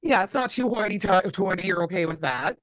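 A woman radio host, talking in a loose, chatty way full of fillers and restarts. Her voice sits at an ordinary pitch and comes through a close, dry studio mic with no room sound. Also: expressive, brisk, no room sound. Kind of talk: casual interview with games.

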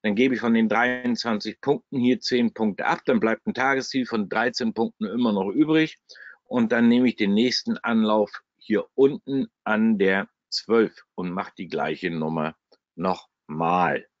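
A man's voice: lowish voice, a little slow, no room sound. A man with a German regional dialect hosting a live trading webinar, talking bluntly and chattily with salty humor while he walks through his screen. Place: at a computer, screen shared. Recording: dry, slightly dull webinar audio.

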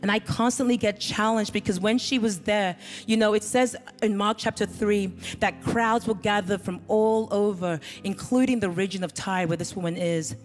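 A woman's voice: medium pitch, fairly even intonation, moderate pace, few pauses. A middle-aged woman pastor preaching a sermon on a church stage, holding a handheld mic, with earnest emphasis. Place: large church stage with band behind. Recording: reverberant hall with music.

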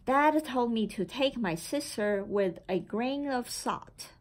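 A woman reading a children's picture book aloud in a deliberate, expressive storytelling manner. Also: on the high side. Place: indoor room with framed certificates on the wall.

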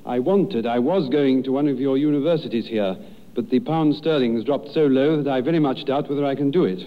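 A man's voice: medium pitch, fairly quick. A young man from London with an English accent, answering in a radio interview as a contestant, politely and formally, with some hesitation. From London, England. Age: young adult. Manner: polite, well-spoken, hesitant with formal phrasing. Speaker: amateur contestant. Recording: muffled, hissy 1930s radio broadcast.

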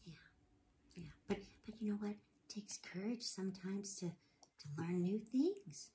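A woman's voice: medium pitch, a little slow, dark tone. A woman chatting casually and encouragingly with a child during storytime, with short reacting exclamations. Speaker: co-host listening to a read-aloud. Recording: noisy home room recording.

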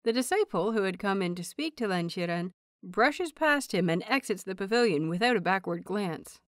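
A woman reading a story aloud as an audiobook narrator, in expressive scripted narration. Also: mid-range voice, moderate pace, no room sound, clean studio sound. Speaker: audiobook narrator of fan fiction.

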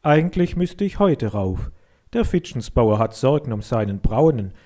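A friendly, fatherly dairy inspector in a children's audiobook, read by a man. He is genial and gently teasing, with a mellow voice of middling pitch. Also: very animated, normal speed, close to an everyday voice. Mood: modest, calm. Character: friendly, fatherly milk inspector, good-humoured uncle.

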